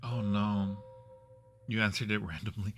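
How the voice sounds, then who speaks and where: A man's voice: on the low side, flat delivery, measured pace, fairly bright tone. A man hosting a casual gaming livestream, chatting and reacting as he plays along with viewers. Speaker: livestream host. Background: game music.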